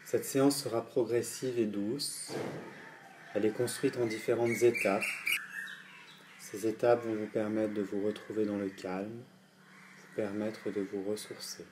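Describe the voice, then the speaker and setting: A man guiding a meditation session in a calm, gentle, deliberate way, with pauses between his instructions. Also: on the low side, noisy background. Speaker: yoga nidra guide. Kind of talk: guided meditation. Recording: night insects and nature sounds behind voice. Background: crickets and insects at night.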